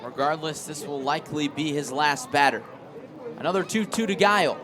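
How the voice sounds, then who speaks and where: A man's voice: fairly high pitch, fairly quick. A man calling sports as a play-by-play announcer and anchor, in a commentary style. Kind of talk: sports commentary and highlight narration. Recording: crowd and outdoor noise behind. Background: crowd and ambient noise.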